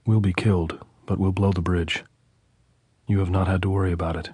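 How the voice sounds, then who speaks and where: A man doing a quiet, tender soldier, deep and husky. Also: level tone, no echo. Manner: soft, tender, measured. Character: calm tired male soldier speaking tenderly to his lover.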